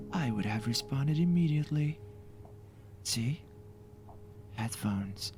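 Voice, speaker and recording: A man playing a flamboyant, teasing ghoul mask maker in an anime audio roleplay, theatrical and playful with breathy asides. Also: medium pitch, a little mellow. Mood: flustered, casual. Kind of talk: anime fan audio roleplay with ASMR touches. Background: faint music.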